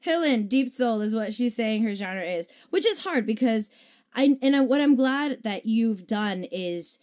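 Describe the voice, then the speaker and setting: Higher-voiced woman hosting a radio interview. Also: animated, measured pace, darkish tone. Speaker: radio host interviewing a musician. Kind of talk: casual chatty radio interview. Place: radio studio.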